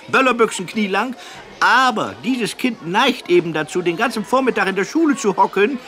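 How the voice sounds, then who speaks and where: A man's voice: high-pitched, sharp tone. An actor playing an earnest, eagerly absurd male children's educator in a radio comedy interview, explaining things with little question tags. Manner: explanatory, with frequent "nicht?" tags.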